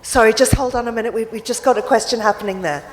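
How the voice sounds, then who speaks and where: A woman moderating a festival panel, brisk and polite as she calls for questions. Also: fairly high pitch. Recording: reverberant festival hall on PA, faint noise.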